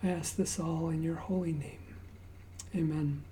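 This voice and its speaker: A woman raised in Zambia preaching at an unhurried pace, with a mellow, warm tone. Her prayer and stories alternate between relaxed, chatty asides and soft, hushed near-whispers. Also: low voice, slight background noise. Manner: conversational storytelling with fillers and asides. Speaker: pastor. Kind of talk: sermon with opening prayer.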